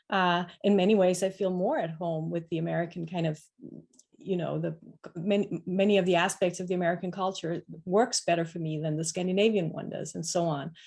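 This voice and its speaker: A woman's voice: lowish voice, a little mellow. A woman from Denmark, speaking as a panelist in an online discussion, reflective and often restarting her sentences. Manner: reflective, searching for words with many restarts.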